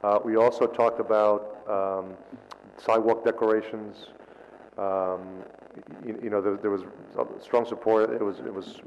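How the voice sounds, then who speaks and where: A man's voice: on the low side, a little mellow. A man giving a report at a council meeting, hesitant, with many fillers and repeated words. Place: council chamber. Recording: dull reverberant council chamber audio with faint noise.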